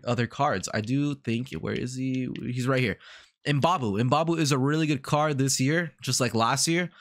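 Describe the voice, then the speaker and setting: A man with a congested, sick-sounding voice, rambling casually through video game commentary with lots of fillers and repetition. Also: mid-range voice. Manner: rambling, repeats himself, many fillers. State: congested from a cold. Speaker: gaming YouTuber.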